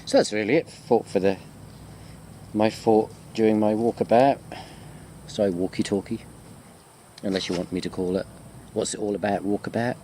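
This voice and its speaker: A man with a low-pitched voice talks like a lecturer chatting to his students on a walk. He rambles along in a conversational, self-deprecating way. Faint insect and cricket noise sits behind him, as in an outdoor recording. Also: fairly even intonation, normal speed, pauses often, warm tone. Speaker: university lecturer. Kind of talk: informal walking video chat to students.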